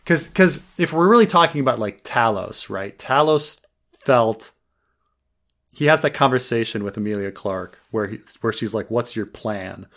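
A man's voice: mid-range voice, animated, normal speed, a bit bright. Male podcast co-host, casual chatty review, filler-heavy and meandering. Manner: rambling, many fillers and restarts; laughs while joking.

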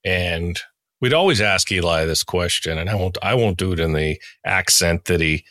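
A man in his sixties with a deep voice, chatting casually as a podcast host. He talks in a rambling, anecdotal way. The sound is dry and close, free of room echo. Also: animated, moderate pace, a bit bright. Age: 60s. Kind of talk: casual interview chat.

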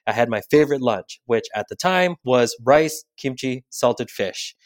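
Male guest, Korean native speaker, reflective storyteller, mid-pitched. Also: expressive, unhurried, no room sound. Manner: thoughtful, personal storytelling. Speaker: podcast guest. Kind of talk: reflective conversational explanation.

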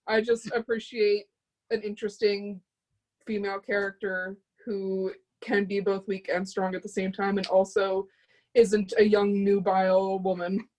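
A woman's voice: mid-range voice, level tone, a little slow, a little mellow, rough recording. A woman speaking casually and enthusiastically in a group discussion.

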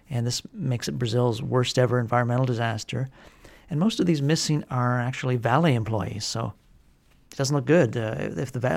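A man hosting a mining news podcast, giving a measured, informative rundown with occasional fillers. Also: mid-range voice, a little mellow. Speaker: podcast host and editor.

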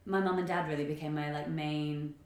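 A woman hosting a casual panel discussion, chatty and informal as she tells stories in an animated way. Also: sits low, a little fast. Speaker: podcast host. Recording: echoey room.

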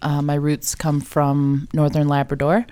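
Woman from newfoundland, deep smooth voice, dark tone, earnest, hesitant. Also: completely flat delivery, unhurried. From Newfoundland, Canada. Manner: earnest, hesitant with frequent ums. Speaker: student success coordinator. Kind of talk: guest self-introduction.

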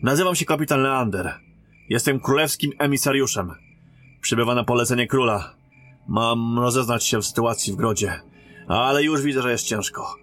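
Courteous captain and king's envoy played by a man, formal, medium-pitched, fantasy radio play with faint music behind. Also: normal speed, a bit bright, natural voice. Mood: formal, relieved. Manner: formal, polite military address. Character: courteous male royal emissary, an army captain. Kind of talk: fantasy audio drama acting.

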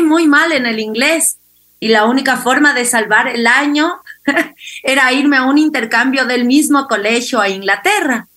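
Female interview guest, bright and fairly high voice, conversational storyteller. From Ecuador. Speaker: interview guest, clinical psychologist.

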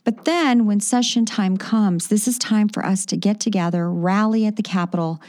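A woman's voice: medium pitch, moderate pace, a little mellow, no echo. A woman hosting a radio talk show, promoting her products with enthusiasm and lots of fillers. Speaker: radio show host and magazine publisher.

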